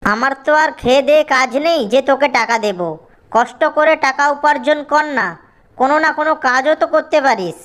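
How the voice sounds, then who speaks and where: Man doing a high-pitched grumpy jackal uncle. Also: fairly quick, fairly bright tone. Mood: scolding. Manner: scolding, indignant. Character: grumpy male jackal uncle guarding his apple orchard.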